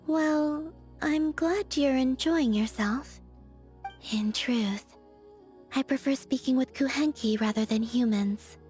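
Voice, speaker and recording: An actress voicing a soft-spoken, aloof young woman in a game, with a breathy, whispery manner and slow, languid delivery. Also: high voice. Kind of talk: video game character voice lines.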